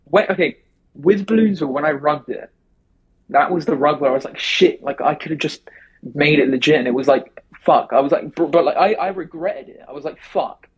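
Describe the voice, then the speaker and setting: A man talking fast and rambling in a casual remote interview, with many restarts. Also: fairly high pitch, husky, dull audio. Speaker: interview guest.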